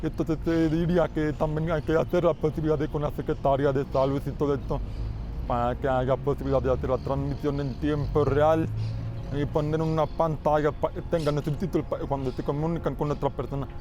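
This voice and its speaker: Man, Mexican accent, earnest testimony, slightly high, faint music behind. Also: level tone, brisk. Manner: earnest, explanatory, slightly uneven phrasing. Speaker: testimony interviewee.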